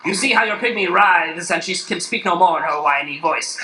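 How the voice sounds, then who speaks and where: A man's voice: sits high, fairly quick, bright, forceful tone, exaggerated voice. A man playing a grandiose, gloating villain sorcerer with pompous theatrical delivery in an improvised radio play. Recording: echoey noisy live theater stage.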